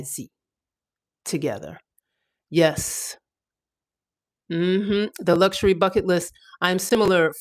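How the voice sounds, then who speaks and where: A woman's voice: on the low side, low-quality recording. A woman in her fifties hosting a casual livestream, talking in a chatty, rambling way with fillers and restarts. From United States. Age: 50s. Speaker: livestream host.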